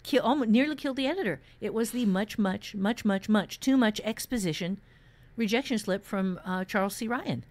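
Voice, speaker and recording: A woman with a medium-pitched voice talks casually as a podcast host. She explains in a halting way, restarting and repeating words to drive a point home. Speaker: podcast host, experienced fiction writer. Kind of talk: casual advice discussion about fiction writing.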